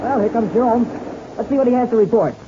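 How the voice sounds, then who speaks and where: An actor playing an eager, boyish young American pilot in an old radio serial, earnest and enthusiastic, with an American accent. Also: male voice, very high voice, fast talker. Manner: earnest, gee-whiz enthusiasm. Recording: noisy, dull old radio recording.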